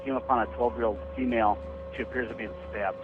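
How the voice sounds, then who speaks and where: Rapid male dispatcher through tinny phone. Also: mid-range voice, fairly even intonation. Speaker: call-transfer dispatcher. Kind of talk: clipped emergency relay. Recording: tinny phone line with music behind.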